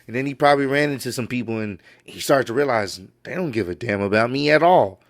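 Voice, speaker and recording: A man's voice: medium pitch, lively intonation, fast talker. A man giving casual reaction commentary on wrestling news, laid-back and admiring, often repeating himself.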